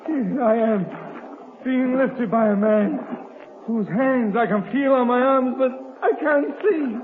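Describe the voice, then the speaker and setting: An actor playing a wounded, desperate crook, halting and pleading in a melodramatic 1930s radio drama manner. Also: male voice, very high-pitched. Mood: dazed. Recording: muffled, crackly 1930s radio recording.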